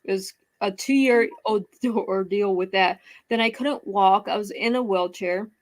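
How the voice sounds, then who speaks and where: A woman's voice: medium pitch, measured pace. A woman in her thirties from the United States, a guest in an interview, speaking candidly and reflectively about hard times she has lived through. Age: 30s. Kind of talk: personal interview.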